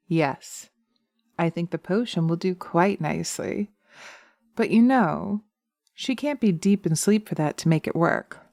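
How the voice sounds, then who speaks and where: Woman playing a sly, mellow, seductive hypnotist witch. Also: lowish voice, creaky, dry recording, clean studio sound. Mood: scheming, pleased. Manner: slow coaxing, soothing, intimate, often slipping into whispers. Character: sly, seductive, vengeful female witch casting a hypnotic spell. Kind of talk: hypnosis roleplay audio. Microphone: close binaural mic, dry.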